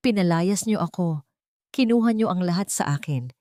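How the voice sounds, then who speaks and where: A woman's voice: lowish voice, lively intonation, moderate pace, dark tone, no room sound, studio quality, lightly acted. A woman voicing a wounded young woman, a rejected eldest daughter, in an anguished, pleading audio drama style. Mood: hurt, angry. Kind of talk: Tagalog audio drama acting.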